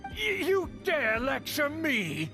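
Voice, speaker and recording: A man's voice: extremely high pitch, wildly swooping pitch, slow pace, full character voice. A man playing a pompous older aristocrat in an anime fantasy game, indignant and theatrical, scolding with grand old-fashioned airs. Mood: outraged. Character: pompous, irate older male aristocrat, scheming uncle. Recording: game music behind. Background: game music.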